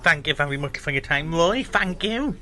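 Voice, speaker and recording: A man's voice: fairly high pitch, lively intonation, normal speed, sharp tone, soft background music. A man hosting a hospital radio show, interviewing a guest in an upbeat, enthusiastic way. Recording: crowd chatter in the room. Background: crowd chatter.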